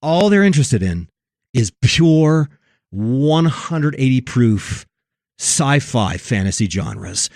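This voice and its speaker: Male talk host, mid-pitched with big melodic swings, preachy and emphatic, recorded dry and close. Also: sing-song, slow, darkish tone, dry recording. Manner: emphatic, preachy, repeats phrases for effect. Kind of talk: religious commentary on film and culture.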